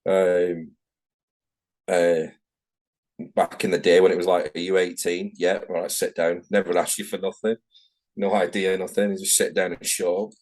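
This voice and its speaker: Deep-voiced Manchester man telling his story. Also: level tone, normal speed, a little mellow. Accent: Manchester English. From England, Manchester. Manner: rambling, frank, self-correcting. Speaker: tattoo artist guest. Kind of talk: casual interview chat. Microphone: video call.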